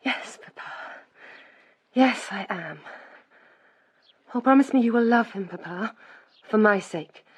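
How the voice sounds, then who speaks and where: An English actress playing an earnest, emotional, devoted daughter in a radio drama, husky and breathlessly impassioned. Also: female voice, mid-range voice, animated, slow pace, pauses often. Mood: anxious, hopeful. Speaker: actor.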